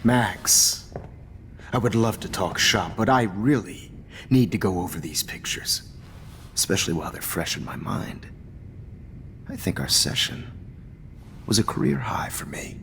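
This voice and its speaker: A man's voice: lowish voice, animated, unhurried, vocal fry, pauses often, a bit bright, heavily acted. A man voicing a smug, manipulative photography teacher in a video game, taunting and often whispering. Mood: menacing. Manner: taunting, mocking, drops into whispers.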